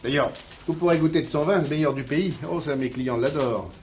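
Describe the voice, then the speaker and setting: An actor playing a jovial, folksy village innkeeper who gossips freely in an old television play. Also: male voice, fairly high pitch, a little fast, over music, close to an everyday voice. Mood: jovial, gossipy. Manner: garrulous, chuckling, folksy with exclamations. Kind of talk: 1970s French television play dialogue. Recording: noisy old TV soundtrack with clicks.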